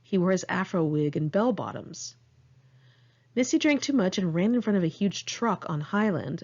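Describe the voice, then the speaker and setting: Low-voiced American woman reading, slightly dull sound. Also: a little mellow. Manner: conversational, candid, informal. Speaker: author reading own memoir. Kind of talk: audiobook narration of diary entries.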